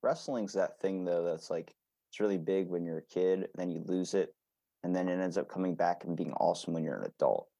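A man's voice: low-pitched, fairly quick, gravelly. A man hosting a casual podcast chat, talking bluntly with plenty of swearing and acting out bits as he goes. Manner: blunt, profanity-laced, animated re-enacting.

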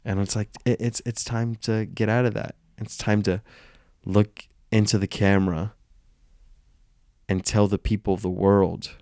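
A man's voice: fairly low pitch, level tone, measured pace, mellow, no room sound, studio quality. A man hosting a casual solo podcast, congested and nasal from illness, rambling and self-aware. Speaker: podcast host.

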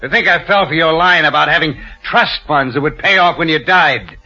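An actor playing a hard-boiled publicity agent in an old radio drama, blunt, impatient and scornful. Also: male voice, on the high side, normal speed, sharp tone, faint music behind, heavily acted. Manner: blunt, brusque, dismissive. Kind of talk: 1940s radio drama acting. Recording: muffled old radio recording.

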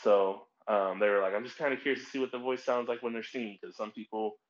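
A man's voice: on the low side, fairly even intonation, fast. A man hosting a podcast with a Midwestern American accent, talking casually and rambling a little with many fillers. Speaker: podcast host. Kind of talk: casual conversation. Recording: dull lo-fi audio.

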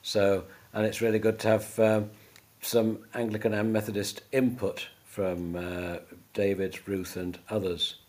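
A man acting as interviewer in an informal church conversation, explaining things in a slightly halting way. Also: on the low side, level tone, darkish tone.

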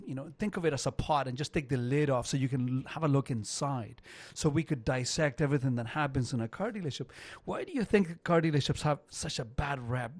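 A man in his fifties hosting a radio talk show, English not his first language, talking in an animated way and often repeating words as he starts a sentence. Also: medium pitch, no echo. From not Canada.

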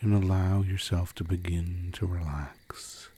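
A man with a low, dark, relaxed voice leads a sleep meditation. He speaks in a hushed, soothing way, leaving long pauses. Crickets sound softly behind him with faint noise. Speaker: guided meditation narrator. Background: crickets and crackling fire.

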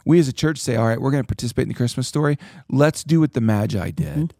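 A man hosting a casual church podcast, talking with animated, preachy emphasis and reading scripture aloud. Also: medium pitch, fast talker, creaky, no room sound, clean studio sound. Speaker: pastor and podcast host.

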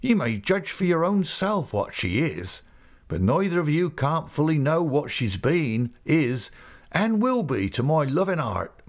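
Man playing an overjoyed, rustic old seafaring uncle, animated. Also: fairly high pitch, unhurried, voice pushed up. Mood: tender, fervent. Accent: East Anglian English rustic dialect. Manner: rapturous, effusive, breathlessly excited. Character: overjoyed rough old seafaring uncle, humble, big-hearted. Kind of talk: character voice in audiobook reading.

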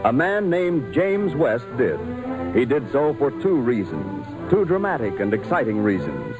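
A man's voice: medium pitch, very animated, measured pace, rough recording. Male vintage radio announcer, scripted, dramatic, swooping intonation, formal, music underneath. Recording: old radio broadcast, music behind.

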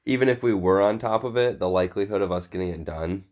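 A man's voice: deep voice, fast talker, darkish tone, muffled recording. A man with a congested, sore-throated voice co-hosting a podcast in blunt, sweary, unscripted chat. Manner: profane, blunt. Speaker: podcast co-host.

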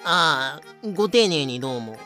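A woman playing a cheeky, excitable young character in an audio drama, theatrical and playful. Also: low-pitched, a little slow, faint music behind, exaggerated voice. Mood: reactive. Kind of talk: Japanese audio drama acting.

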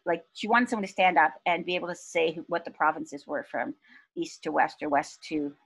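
Woman in her 50s, guest on a podcast, low-ish voice, thoughtful and measured. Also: creaky, breathy edge, poor audio. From Pakistan. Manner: thoughtful, measured, with soft laughs. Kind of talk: reflective interview talk.

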